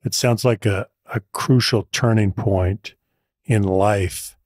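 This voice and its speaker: Low-voiced male podcast host, dry sound. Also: steady tone, takes it slowly, darkish tone, no echo. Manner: probing, affirming questions. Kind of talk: interview questions.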